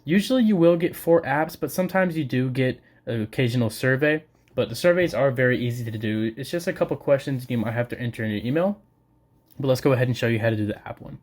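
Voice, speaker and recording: Young man, rapid pace, medium pitch, informal tutorial narration, talking straight to viewers. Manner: informal, addressing viewers directly. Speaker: video creator giving a tutorial. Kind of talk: casual step-by-step tutorial narration.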